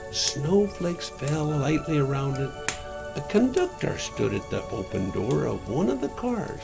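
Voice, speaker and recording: An older man with a gravelly voice reads a storybook aloud to children as Santa, gently and full of wonder, addressing them directly. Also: fairly high pitch.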